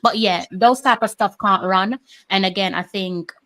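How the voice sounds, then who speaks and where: A woman's voice: medium pitch, moderate pace. A woman co-hosting a football live stream, chatting casually and reading out viewer comments. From Jamaica. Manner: animated, talkative, reads viewer comments aloud.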